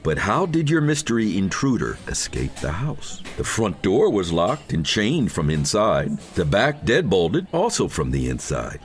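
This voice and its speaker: Guy acting as smug psychic author type, low voice that swoops all over, condescending, horror audio story. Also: wildly swooping pitch, unhurried, hardly any pauses, faint music behind, heavily acted. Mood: emphatic, irritated.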